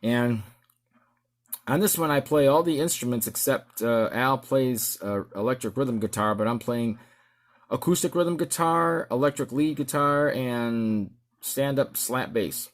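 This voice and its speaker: A middle-aged man giving a casual show-and-tell as an amateur musician, in a halting, rambling way. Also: mid-range voice. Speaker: amateur musician presenting his record.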